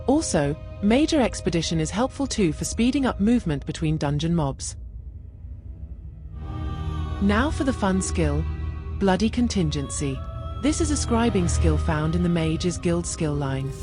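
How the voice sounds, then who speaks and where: A woman narrating a scripted gaming build guide in a measured, explanatory way. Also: on the low side. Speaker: gaming video creator and narrator. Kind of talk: game build guide voice-over. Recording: music behind the voice. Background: music.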